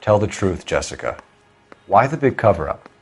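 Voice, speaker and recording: A man voicing an earnest, probing police detective in a video game, measured, formal and pressing. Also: sits low, sing-song, a little mellow, faint music behind. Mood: stern, accusing. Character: earnest, probing male police detective, stern but controlled.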